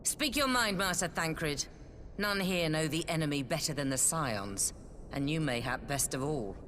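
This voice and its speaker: A woman's voice: sits low, animated, a little slow, a bit bright, a little hiss or hum. A woman playing a stern, resolute admiral in a video game cutscene, with authoritative, old-fashioned formal speech.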